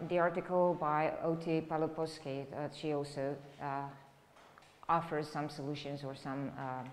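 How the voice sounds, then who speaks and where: A middle-aged woman from Serbia with a Serbian accent, giving an academic lecture in a halting, explanatory way. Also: lowish voice. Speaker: university lecturer. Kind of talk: academic lecture, spoken freely. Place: indoor lecture room, standing by a lectern. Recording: echoey lecture room with background noise.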